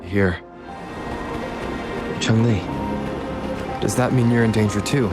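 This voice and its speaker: A man's voice: on the low side, slow pace. An actor playing a serious, concerned young male hero in a video game, speaking tersely and earnestly. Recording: very loud music over voice. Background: loud game music.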